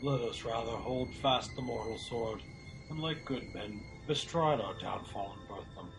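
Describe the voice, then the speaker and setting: A man's voice: mid-range voice, moderate pace, creaky, natural voice, low-quality recording. A man playing a stern, patriotic warrior nobleman, declaiming resolutely in Shakespearean radio drama. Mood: resolute, grieving. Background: music.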